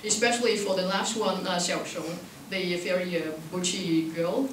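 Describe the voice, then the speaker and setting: A woman speaks reflectively at a panel discussion in halting, non-native phrasing. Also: fairly low pitch, fairly even intonation. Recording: echoey room, distant mic, faint noise.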